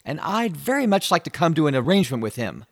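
An animated, higher-voiced American showman. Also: fast, no echo, clean studio sound. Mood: eager, businesslike. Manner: breezy, genial, salesman-like. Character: eager, smooth-talking American showman and businessman. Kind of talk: radio drama acting.

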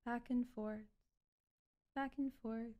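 A woman performing an ASMR hypnosis roleplay as a calm, controlling doctor, speaking in a soothing, repetitive, coaxing way. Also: a little high, slow, phone-quality sound. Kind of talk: ASMR hypnosis roleplay, guided relaxation script.

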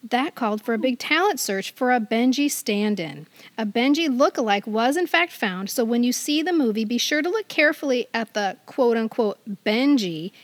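High-voiced middle-aged American woman, dry recording. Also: no echo. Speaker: podcast co-host. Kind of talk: casual chat with dramatic reading of magazine items.

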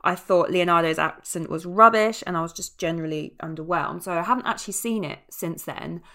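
A woman's voice: fairly low pitch, a little fast, a bit bright. A woman hosting a casual film podcast, chatty and opinionated, often correcting herself as she talks. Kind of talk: casual film review chat.

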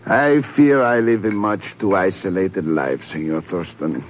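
An actor playing a courtly, hesitant older Mexican landowner with a Spanish accent in a 1950s radio drama. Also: male voice, low-pitched, measured pace, muffled recording, background noise. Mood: courteous, modest. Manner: formal, gracious, hesitant. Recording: vintage 1950s radio broadcast.

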